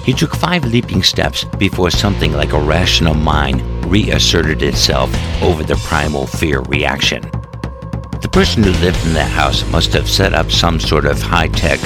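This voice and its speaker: Very deep, gravelly male story narrator. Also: normal speed. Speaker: author reading own story. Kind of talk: scripted audiobook narration. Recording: music bed under narration. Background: music.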